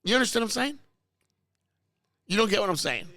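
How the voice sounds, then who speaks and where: Hoarse, gravelly man with a bright voice. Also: brisk. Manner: excitable, pitch jumps up when arguing. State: hoarse, losing his voice. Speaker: podcast host. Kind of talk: casual banter. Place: car service center.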